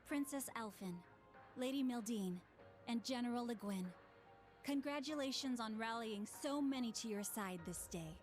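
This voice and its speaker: Woman acting a gracious, higher-voiced noblewoman. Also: a little mellow, soft background music, close to an everyday voice. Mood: earnest. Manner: formal, earnest, measured. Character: gracious, dignified noblewoman offering blessings. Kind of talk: video game voice acting.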